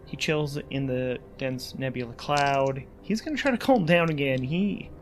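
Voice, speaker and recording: Guy playing a solo rpg, thinking out loud, chatty narration, medium pitch voice. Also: normal speed. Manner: conversational, musing aloud to himself. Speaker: solo tabletop RPG player and podcast host. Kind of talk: thinking-aloud game narration.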